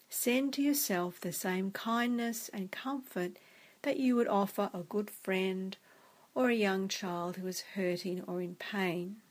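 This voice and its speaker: Woman, meditation guide, gentle, soothing, very slow pace. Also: mid-range voice.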